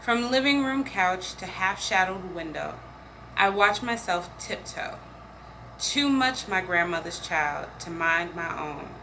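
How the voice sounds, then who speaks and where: Female poetry reader, very slow and measured, mid-range pitch, with audible ambient noise. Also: lively intonation, sharp tone, low-quality recording. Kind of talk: poetry reading aloud. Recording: clear background noise, room ambience.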